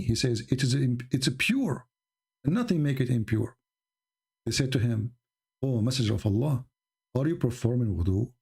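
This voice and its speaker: A man hosting a livestream, sounding mocking, sarcastic and short on patience. His voice sits at an ordinary pitch but carries a gravelly fry and a husky, rough edge. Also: a little mellow. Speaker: livestream host. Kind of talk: argumentative livestream talk.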